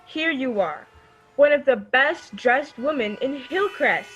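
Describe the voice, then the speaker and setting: An actress plays a gushing socialite in a radio play. Her voice is very high and forceful, pushing and coaxing with theatrical insistence, with faint music behind her. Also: lively intonation, takes it slowly, husky, lo-fi sound, exaggerated voice. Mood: insistent, coaxing. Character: gushing, pushy high-society woman friend. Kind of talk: old-time radio drama acting.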